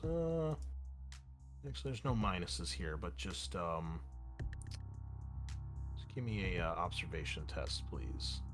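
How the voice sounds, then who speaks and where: Man running a game, halting delivery. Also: on the low side, a bit bright. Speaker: game master. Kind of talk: improvised tabletop game narration and casual rules talk.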